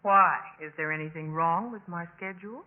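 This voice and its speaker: An actress playing an eager, spirited young sorority pledge in a 1950s radio drama. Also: female voice, fairly low pitch, a little fast, close to an everyday voice. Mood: playful. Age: young adult. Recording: muffled, noisy 1950s radio broadcast.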